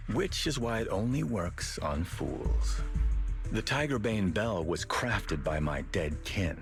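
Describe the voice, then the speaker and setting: An actor voicing a cynical, cold mastermind in a video game, speaking in a dry, measured, condescending way. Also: male voice, deep voice. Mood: cold, explanatory. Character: cynical, cold male tiger-clan schemer, condescending mastermind. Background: music.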